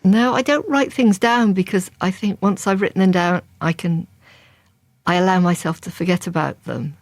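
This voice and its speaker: A woman's voice: lowish voice, moderate pace, no room sound. An older woman, a crime novelist, answering interview questions in a chatty, thoughtful way with frequent restarts.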